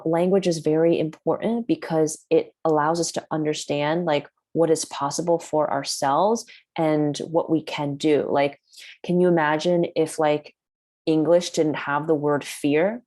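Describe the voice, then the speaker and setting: A woman's voice: low voice, darkish tone. A woman whose first language is Chinese, hosting a workshop in a chatty way, with lots of "like". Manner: chatty, heavy use of "like". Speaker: workshop host. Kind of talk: casual workshop talk.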